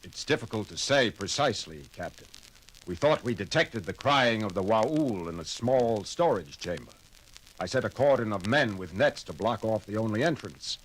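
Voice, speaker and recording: An actor playing a calm, logical male science officer who speaks in a measured, precise and formal way. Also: lowish voice, a little hiss or hum, close to an everyday voice, low-quality recording. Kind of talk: 1970s audio drama acting.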